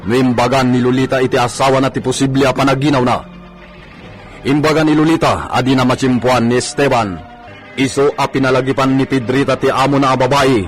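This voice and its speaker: A man narrating a serial radio drama in a scripted, dramatic style, addressing the listeners. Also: mid-range voice.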